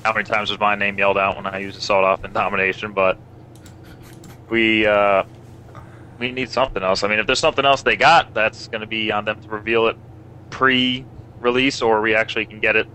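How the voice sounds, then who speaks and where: A man in a casual online voice chat, talking loudly and eagerly about a game's competitive modes. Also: lowish voice, steady tone, brisk, slight background noise, poor audio. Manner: loud, enthusiastic, talks in long runs. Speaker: competitive gamer in a stream discussion. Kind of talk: casual group chat over voice call.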